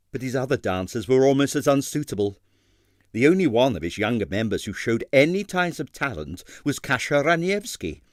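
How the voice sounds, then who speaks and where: A man narrating a comic novel, expressive and wry, in a scripted storytelling style. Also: mid-range voice, dry recording, clean studio sound. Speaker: audiobook narrator.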